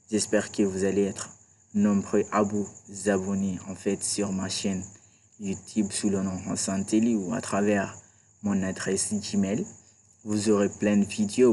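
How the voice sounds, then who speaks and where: Man, screen tutorial instructor, chatty, flat monotone delivery, faint background hiss. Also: on the low side. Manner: chatty, repeats "d'accord" and "voilà". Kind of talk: screen-recorded computer tutorial.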